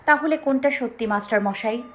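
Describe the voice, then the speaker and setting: অডিও গল্পে একজন নারী অভিনেত্রী বাংলাদেশের গ্রাম্য আঞ্চলিক টানে এক স্পষ্টভাষী, জেদি ষোলো বছরের বউয়ের চরিত্রে অভিনয় করছেন।. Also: female voice, fairly high pitch, fairly quick, natural voice. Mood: curt, challenging. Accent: rural Bangladeshi. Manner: blunt, defiant dialect speech. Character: blunt, proud sixteen-year-old village bride. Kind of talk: audio story drama acting. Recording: dull lo-fi sound with music. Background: music.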